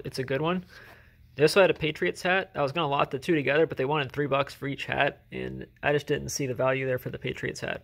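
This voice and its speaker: A man casually talking through items he has sold, relaxed and rambling, often correcting himself, recorded on a handheld phone at home. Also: medium pitch, gravelly. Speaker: reseller vlogger. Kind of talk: casual haul video narration. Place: indoors at home, filming items on floor.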